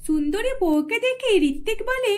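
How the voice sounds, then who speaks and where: A woman with an extremely high-pitched voice narrates a scripted cartoon tale. Music plays clearly behind her storytelling. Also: expressive, moderate pace.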